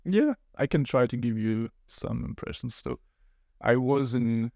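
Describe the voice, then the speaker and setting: Creaky-voiced German man, hesitant. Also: mid-range voice, animated, measured pace, darkish tone, dry recording, clean studio sound. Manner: hesitant, thinks aloud with fillers and laughs. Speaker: podcast guest. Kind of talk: casual podcast chat.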